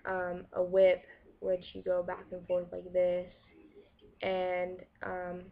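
A woman's voice: on the low side, completely flat delivery, slow pace, creaky. A young woman in a small room presenting a school project about welding to her webcam, in a casual way with many ums and restarts. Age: young adult. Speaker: welding student. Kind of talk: school project explainer video. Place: small room against a plain wall, indoors. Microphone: webcam in front of her. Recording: dull lo-fi webcam audio.

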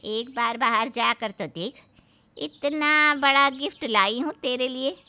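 A woman voicing a taunting, bossy elderly aunt-in-law in a cartoon family story, with a sarcastic, drawn-out delivery. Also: sits high, bright, forceful tone, exaggerated voice. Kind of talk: animated Hindi family moral story acting.

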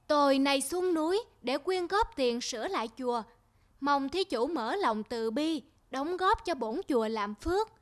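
A cartoon folktale swindler in monk's robes , acted by a woman, with a very high voice for a man. He is sly and formally pious, always persuading. Music plays prominently behind the speech. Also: animated, unhurried. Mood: pious, persuasive. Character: sly fake monk, gaunt man, smoothly pious swindler.

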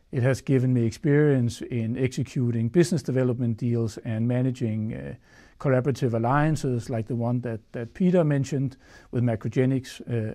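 Man, mellow and earnest. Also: mid-range voice. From Denmark. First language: Danish. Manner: earnest, with frequent fillers. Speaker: chief executive. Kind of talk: prepared corporate address. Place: studio against a dark backdrop. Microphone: lapel mic.

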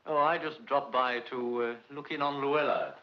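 A man's voice: medium pitch, moderate pace, natural voice. A man playing a breezy, teasing young man in 1950s film drama dialogue. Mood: breezy, casual. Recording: muffled, noisy old film soundtrack.

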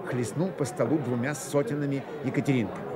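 A man narrating a dramatised novel for Soviet radio theatre in a measured, expressive storytelling manner. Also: mid-range voice. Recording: 1980 radio play, crowd behind.

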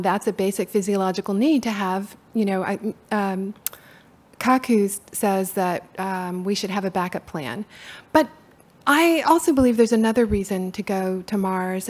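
A middle-aged woman from Appalachia with a soft-spoken Appalachian accent, giving a conference talk from a podium in a hall. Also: mid-range voice. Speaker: conference speaker. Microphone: podium mic from far camera.

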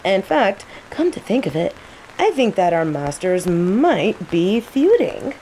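Woman acting as a slow-talking, smug young mage. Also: mid-range voice, poor audio. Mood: taunting, calm. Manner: playful, taunting, unhurried. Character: teasing young female nature mage, smug rival apprentice. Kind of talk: roleplay audio drama monologue. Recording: steady rain noise behind. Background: rain.

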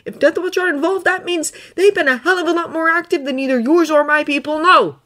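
A woman's voice: sits high, a little fast, a bit bright, pitched above the performer's own voice. A woman voicing an undercover male Jedi, earnest and weary, in a fan fiction audiobook.

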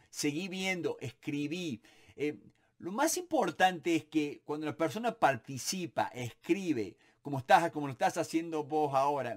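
A man in his forties with a Córdoba Argentine accent, answering viewer questions to camera in a home office in an animated, encouraging way, full of fillers. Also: a little high. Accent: Córdoba Argentine Spanish. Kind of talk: casual Q&A to camera. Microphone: webcam or camera at desk, close framing.

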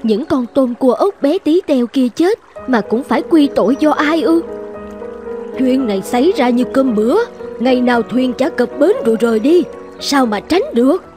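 A woman's voice: sits high, animated, normal speed, music in the background. A woman voicing a worried female passenger in a cartoon folk tale. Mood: puzzled. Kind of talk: animated folk tale voice acting.

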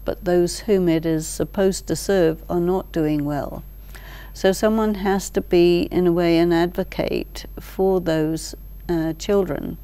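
An older woman explaining ideas thoughtfully as an interview guest, in short measured phrases with deliberate pauses. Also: fairly low pitch, fairly even intonation, dark tone, clean tone. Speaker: expert guest, teacher. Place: large library hall, indoors. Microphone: lapel mic.

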